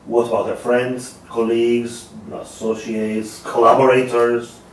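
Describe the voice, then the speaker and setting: A man's voice: mid-range voice, little pitch movement, a little slow, natural voice. A man playing a weary, guarded private eye in a table read of a crime thriller. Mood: probing, measured. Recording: roomy, distant mic with faint noise.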